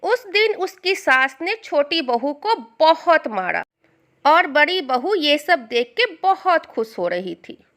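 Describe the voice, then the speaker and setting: A woman's voice: high-pitched, big pitch swings, slow, fairly bright tone. A woman narrating a scripted moral storybook tale as the storyteller.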